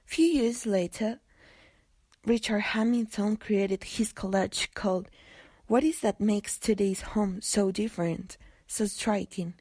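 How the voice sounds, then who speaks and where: A woman's voice: mid-range voice, steady tone, takes it slowly, a little mellow, no room sound. A woman reading scripted documentary narration for a newsreel.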